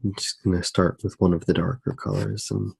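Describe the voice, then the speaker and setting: A man speaking softly in an ASMR style, musing hesitantly and without a script as he narrates his drawing. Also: low voice, level tone, a little fast, vocal fry, slightly rough. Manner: hushed, hesitant, unscripted musing. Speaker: host of an ASMR drawing channel. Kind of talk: soft-spoken ASMR narration while drawing.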